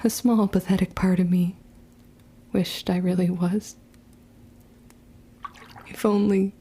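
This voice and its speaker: A woman's voice: mid-range voice, flat delivery, slow pace, mellow, slightly rough. A woman playing a guarded, wounded young witch girl who tells her story in formal period speech in an audio drama. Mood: anguished. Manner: archaic, formal period diction. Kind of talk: confessional first-person audio drama narration and dialogue.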